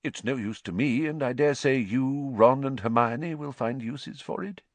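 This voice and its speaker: A man's voice: medium pitch, normal speed, no room sound, voice pushed up, lightly acted. A man voicing a kindly, mild-mannered schoolteacher. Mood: kind, brisk. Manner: courteous, gentle, understated. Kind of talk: audiobook character voice.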